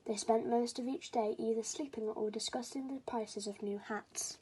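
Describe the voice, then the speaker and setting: A woman's voice: a little high, fairly even intonation, normal speed, warm tone, slightly rough, low-quality recording. A woman reading a children's story aloud as scripted storybook narration.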